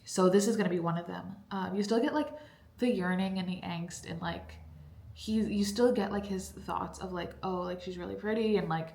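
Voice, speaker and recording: A young woman talks casually to her viewers, rambling with plenty of fillers. Her voice sits a little low and sounds congested. The room around her is echoey. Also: normal speed, vocal fry, a little mellow. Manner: chatty, rambling with many fillers. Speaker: book vlogger. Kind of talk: casual vlog chat. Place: cold basement room at home.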